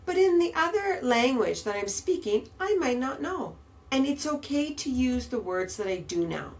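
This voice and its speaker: A woman podcast host and English teacher, a native English speaker, explaining sentence structure in a slow, patient, emphatic way. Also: high voice, sing-song. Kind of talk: podcast grammar lesson. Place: Istanbul.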